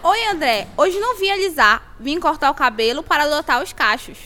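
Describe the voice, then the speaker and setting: A woman playing an excitable young girl who longs to fit in, in an amateur radio drama. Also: sits high, fairly quick. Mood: determined, joyful. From Brazil.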